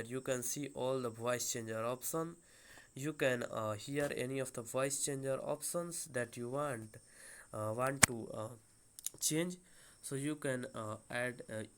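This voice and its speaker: A man with a bright, forceful voice talks through an app tutorial step by step. His delivery is unscripted and hesitant, circling back over phrases as he explains. The pitch sits in an ordinary middle range for a man. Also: clean tone. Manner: halting, hesitant, repetitive phrasing. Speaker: tutorial host.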